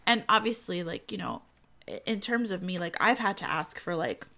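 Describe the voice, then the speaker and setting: A woman's voice: on the low side, very animated, moderate pace, vocal fry, sharp tone. Congested woman chatting casually. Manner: chatty, many fillers. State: congested from illness. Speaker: podcast co-host, author. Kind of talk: casual chat.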